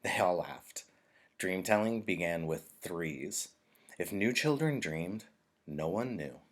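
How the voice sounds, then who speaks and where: A man's voice: low-pitched, lively intonation, slow pace. A man narrating a novel as a teacher reading aloud to students. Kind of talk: storybook narration, read aloud.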